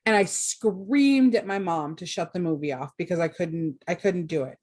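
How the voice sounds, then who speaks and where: A woman's voice: lowish voice, normal speed, darkish tone. A woman co-hosting a podcast, chatting casually and playfully and joking often. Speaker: podcast co-host. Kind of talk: casual chat.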